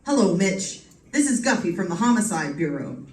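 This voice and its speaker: A woman's voice: on the low side, big pitch swings, normal speed. Woman doing a tough wisecracking male detective for a radio play, slangy n stubborn, far off in an echoey room. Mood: grudging. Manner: slangy tough-cop talk. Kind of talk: live old-time radio drama acting. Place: live stage performance, mic at a distance. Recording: distant mic in echoey, noisy room.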